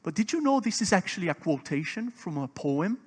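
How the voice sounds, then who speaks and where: Guy giving a seminar talk, bit high pitched, gets loud and emphatic, asks the crowd stuff. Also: big pitch swings, fairly quick, husky. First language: Portuguese. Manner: emphatic, swelling louder on key points; asks the audience questions. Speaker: seminar speaker. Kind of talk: conference seminar teaching.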